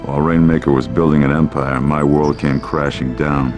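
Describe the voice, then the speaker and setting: A man's voice: a bass voice, fairly even intonation, moderate pace. An actor playing a grave, protective middle-aged military commander and regretful father in a video game. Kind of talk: dramatic video game cutscene acting. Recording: music under the voice.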